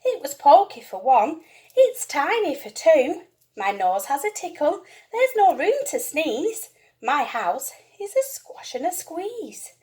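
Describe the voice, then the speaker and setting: A woman acts out a little old lady from a children's storybook, flustered and full of grumbles. The voice is high and swooping, drawn out slowly, with plaintive, dramatic exclamations. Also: very animated, darkish tone, exaggerated voice. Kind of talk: children's storybook read-aloud.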